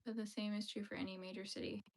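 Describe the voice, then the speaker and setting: A woman's voice: mid-range voice, level tone, fast talker. A young woman, chatting playfully with her livestream viewers while she crochets. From Canada. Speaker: livestream host.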